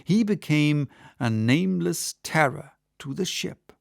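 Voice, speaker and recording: A man reading classic literature as an audiobook narrator, with measured, dramatic storytelling. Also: fairly high pitch, expressive, creaky, no room sound, clean studio sound.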